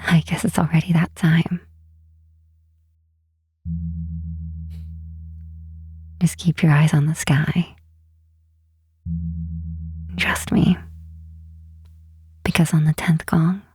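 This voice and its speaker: A woman playing a gentle, wistful demon queen in a soft ASMR roleplay, speaking slowly and tenderly in a breathy, hushed voice. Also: low-pitched. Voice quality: breathy, whispery. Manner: unhurried, hushed, tenderly reassuring.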